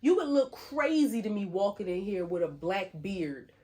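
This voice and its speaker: A woman's voice: medium pitch, sing-song, moderate pace, darkish tone. A woman co-hosting a podcast, chatting in a supportive and teasing way. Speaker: podcast co-host. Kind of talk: casual chat.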